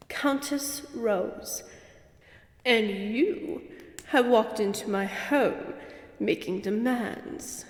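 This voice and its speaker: A woman acts out an imperious vampire countess who toys with her prey in an audio drama. Her higher voice takes its time over every taunt, echoing as if in a great hall. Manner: slow, deliberate, taunting. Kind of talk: dramatic audio roleplay acting. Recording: echoey hall reverb with music underneath.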